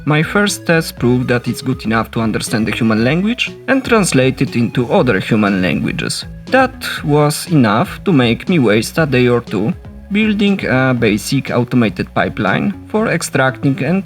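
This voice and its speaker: A man with a Polish accent narrates a game development log in a steady, explanatory voice at a mid pitch. Soft music plays faintly behind him. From Poland. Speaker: solo indie game developer. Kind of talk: scripted devlog narration.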